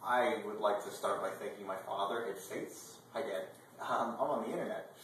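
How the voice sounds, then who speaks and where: Lowish-voiced man in an echoey room. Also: normal speed, a bit bright. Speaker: video blog co-host. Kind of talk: casual talk to camera. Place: indoor dining room at a table. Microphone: far camera microphone. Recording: echoey room, distant mic, faint noise.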